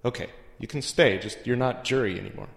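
A man playing a bossy, matter-of-fact judge of a makeshift court, talking casually and haltingly. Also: medium pitch, wildly swooping pitch, vocal fry. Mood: awkward. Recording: echoey hall.

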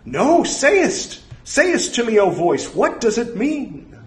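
High-pitched, excitable, gullible drone. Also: male voice, slow, heavily acted. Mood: eager, bewildered. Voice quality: falsetto, squeaky. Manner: archaic speech, excitable, gullible, obedient. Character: old slow-witted fanatical drone manager turned squeaky falsetto Mickey god.

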